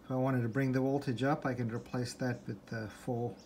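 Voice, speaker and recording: A man's voice: mid-range voice, steady tone, brisk, darkish tone. A man giving an informal, unscripted repair walkthrough, thinking aloud as he explains in a hesitant way. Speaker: hobbyist restorer presenting a repair. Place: indoors at a desk in a room. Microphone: handheld phone or camera, behind the lens. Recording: handheld phone video with background noise.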